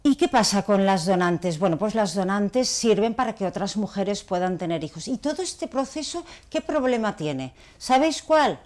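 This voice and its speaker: A woman's voice: mid-range voice, expressive, moderate pace, few pauses, darkish tone. A woman, a gynecologist explaining to camera in a didactic, emphatic way with rhetorical questions. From Barcelona, Spain. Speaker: gynecologist, video blogger. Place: small clinic consulting room.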